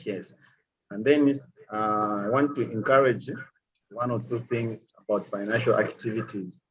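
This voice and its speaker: A man from Zambia with a Zambian accent, speaking halting, grateful remarks to a speaker with many pauses. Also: fairly low pitch, steady tone, slightly rough. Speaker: audience member asking questions. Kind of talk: casual spoken remarks. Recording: dull lo-fi call audio.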